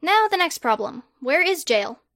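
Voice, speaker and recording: A woman's voice: high voice, sing-song, normal speed, a bit bright, dry recording, clean studio sound, voice pushed up, full character voice. A woman voicing a pompous, self-proclaimed boy wizard hero who makes grandiose, bossy proclamations.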